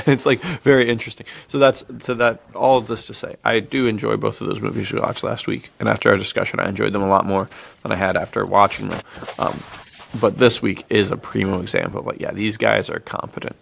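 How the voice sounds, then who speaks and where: A man's voice: on the low side, fairly even intonation, brisk, vocal fry, a bit bright. A man co-hosting a podcast, discussing films in an informal, laid-back, slangy way. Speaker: podcast co-host. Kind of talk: casual film discussion.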